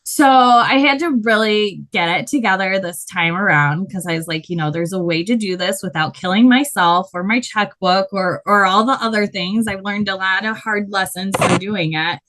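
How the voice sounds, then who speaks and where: A woman with a loud voice, a body painter telling her story in a casual interview, animated and quick to laugh. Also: medium pitch.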